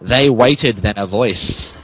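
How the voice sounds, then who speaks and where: A man's voice: mid-range voice, takes it slowly, fairly bright tone, husky, poor audio. A man narrating a comic dramatic reading in a mock-serious way, often stumbling over his words. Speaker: podcast host reading as narrator.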